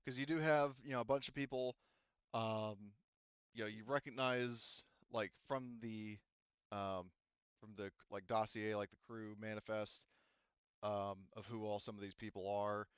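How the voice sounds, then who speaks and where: A man's voice: on the low side, fairly even intonation, measured pace, clear, resonant tone, dry recording, highs cut off. A man narrating a tabletop roleplaying game as game master, casually improvising and often searching for words.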